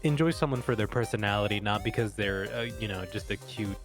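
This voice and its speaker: A man's voice: fairly low pitch, quick pace, over music. A young man chatting casually on a stream, rambling with lots of fillers and restarts. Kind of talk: casual chat.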